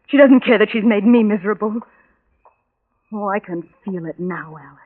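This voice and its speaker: A woman's voice: medium pitch, normal speed, lightly acted. An actress playing a lovesick, obsessive young woman in an old-time radio drama, pleading with impassioned, bitter anguish. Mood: bitter, obsessed. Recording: tinny vintage radio recording with music.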